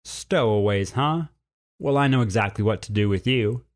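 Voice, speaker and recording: A man's voice: on the low side, moderate pace, natural voice. A man voicing a stern, plainspoken female cougar with folksy country phrasing in a storybook. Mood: menacing. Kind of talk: storybook character voice.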